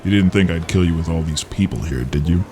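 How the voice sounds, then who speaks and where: Low, creaky, smug male villain. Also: fast, warm tone. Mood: smug menace. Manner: mocking, silky threats. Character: smug, menacing male thief, taunting his enemy. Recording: music under the voice.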